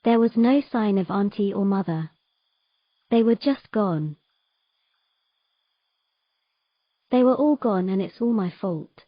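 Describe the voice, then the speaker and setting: A woman playing a sorrowful young mermaid in an audio drama, telling of her past in a gentle, measured, halting way. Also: medium pitch, no echo, highs cut off, close to an everyday voice. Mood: grieving.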